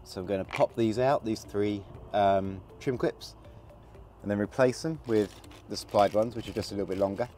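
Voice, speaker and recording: A man with a gravelly voice from a cold, casually talking through a hands-on installation while he works. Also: fairly low pitch, normal speed, soft background music. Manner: relaxed, chatty, talks while working with hands. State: hoarse from a cold. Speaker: product installer demonstrating. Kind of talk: casual how-to demonstration.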